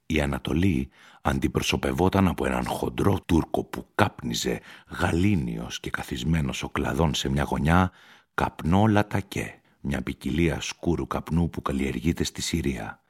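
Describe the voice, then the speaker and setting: A man narrating a literary short story for radio in a measured, deliberate manner. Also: very deep voice, expressive, slightly rough. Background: music.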